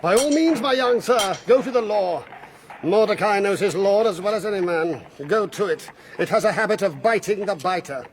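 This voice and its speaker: An actor playing a cunning, menacing moneylender in a period radio drama, with a mocking, theatrical, emphatic way of talking. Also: male voice, sits high, moderate pace, slight background noise, lightly acted. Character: cunning, menacing male moneylender and coachmaker, oily when cornered.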